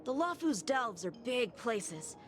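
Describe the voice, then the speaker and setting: A woman's voice: on the high side, sing-song, slow pace. A woman voicing an impatient young companion who talks back defensively and sharply. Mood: explaining. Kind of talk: video game voice acting. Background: game music.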